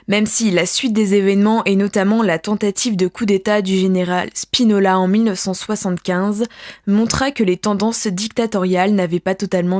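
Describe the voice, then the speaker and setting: Woman, radio host, measured scripted delivery, mid-pitched, dry close mic. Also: a little mellow, dry recording. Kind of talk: scripted radio introduction and interview questions.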